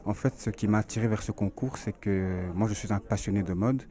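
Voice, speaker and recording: A man's voice: lowish voice, a little fast, dark tone. A man in his thirties, a pageant finalist, introducing himself casually. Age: 30s.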